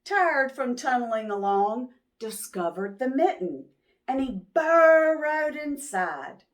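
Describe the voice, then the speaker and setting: A woman reading a picture book aloud to young children, expressively, pausing to ask them questions. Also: fairly high pitch. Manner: expressive, drawn-out words, teacherly prompting. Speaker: children's read-aloud storyteller.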